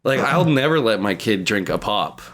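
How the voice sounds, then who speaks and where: A man's voice: lowish voice, very animated, normal speed, a bit bright. A man in his early thirties chatting casually as a podcast co-host, telling joking anecdotes in slangy, swear-heavy language.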